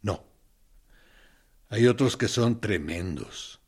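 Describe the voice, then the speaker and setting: A menacing army captain in a radio drama. He speaks slowly, swinging widely in pitch as he feigns friendliness and talks down to his prisoner. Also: animated, very noisy. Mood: insinuating, self-justifying. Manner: condescending, mock-friendly, deliberate with pointed pauses. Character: menacing male military captain interrogating a prisoner, falsely sympathetic. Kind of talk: dramatic radio play monologue.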